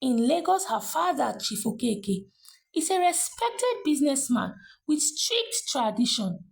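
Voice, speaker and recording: A woman narrates a scripted story in a deliberate, dramatic way, pausing between phrases. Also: high-pitched, very animated, vocal fry.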